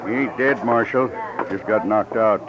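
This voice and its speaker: Man playing a deep-voiced, folksy frontier townsman. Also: normal speed, over a noisy crowd, natural voice. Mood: matter-of-fact. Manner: folksy, matter-of-fact. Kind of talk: 1950s radio western acting. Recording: muffled old radio recording.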